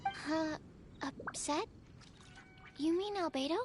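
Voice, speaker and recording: A woman's voice: very high voice, takes it slowly, heavy background noise. A woman voicing a shy, earnest young alchemist in a video game, scholarly and apologetic, explaining precisely but hesitantly. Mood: puzzled.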